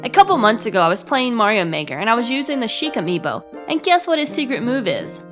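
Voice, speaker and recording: A woman's voice: a little high, very animated, fast talker, music in the background. A young adult woman delivering scripted comedic narration like a diary entry, with a sarcastic, crude and emphatic manner. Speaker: host of a comedic gaming web series.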